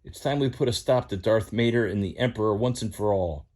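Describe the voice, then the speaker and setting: A man's voice: lowish voice, brisk, a little mellow, close to an everyday voice. A man voicing an eager young male hero in a read-aloud story. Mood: earnest.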